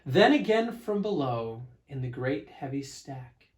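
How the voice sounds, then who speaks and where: Male read-aloud storyteller for children: unhurried, expressive, emphatic narration at a medium pitch.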